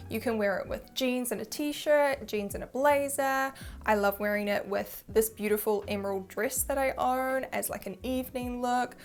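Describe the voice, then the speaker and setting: A woman's voice: on the high side, moderate pace. A young woman with a New Zealand accent, chatting candidly to camera as she reviews a handbag, self-deprecating and open.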